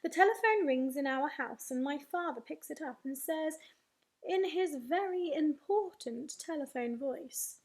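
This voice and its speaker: A woman voicing an excitable, boastful young boy telling his dreams in wide-eyed, ungrammatical speech for a storybook. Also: high voice, measured pace.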